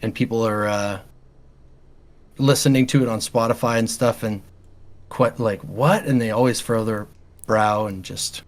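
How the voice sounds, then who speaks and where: A man chatting casually as an interview guest, quiet and calm in his delivery. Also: fairly low pitch, moderate pace, pauses often. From Canada. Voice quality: quiet speaking voice. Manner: calm, easygoing. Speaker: metal band vocalist, podcast guest.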